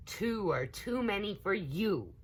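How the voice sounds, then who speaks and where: A squabbling little storybook alligator child, acted by a woman, eager and indignant. The pitch is lifted and very lively, and every protest is emphatic and childlike. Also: takes it slowly, breathy edge.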